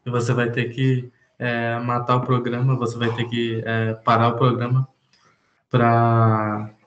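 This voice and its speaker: A man's voice: mid-range voice, completely flat delivery, highs cut off, slight background noise. Hesitant man explaining code, echoey room. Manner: halting, many "é" fillers while explaining. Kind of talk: informal programming lesson.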